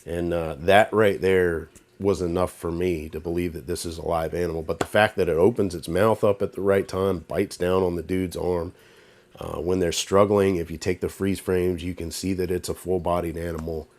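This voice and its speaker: A man's voice: sits low, steady tone, moderate pace, a little mellow. A man with a stuffy, congested voice, casually rambling through commentary as a channel host. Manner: rambling, conversational, with fillers and restarts. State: congested, nasal from a cold. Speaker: YouTube host. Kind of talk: casual talking-to-camera commentary.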